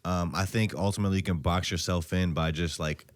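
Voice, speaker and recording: A man's voice: deep voice, fairly even intonation, brisk, no room sound. A man hosting a podcast, chatting in a loose, laid-back way with plenty of "like" and some swearing. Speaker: podcast host. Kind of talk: casual podcast chat.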